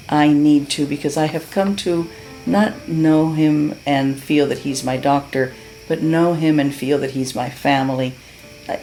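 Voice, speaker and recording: A woman's voice: low voice, a little mellow, poor audio. A middle-aged woman from Cuba giving an earnest, heartfelt testimonial as a patient, with many fillers and restarts. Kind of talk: unscripted testimonial.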